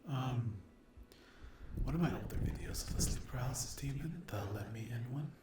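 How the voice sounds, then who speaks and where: A man speaking softly to viewers in an ASMR style, hesitant and halting, often slipping into a whisper. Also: medium pitch. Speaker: ASMR creator addressing viewers. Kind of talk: soft-spoken ASMR update. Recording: echoey room with loud noise, clicks.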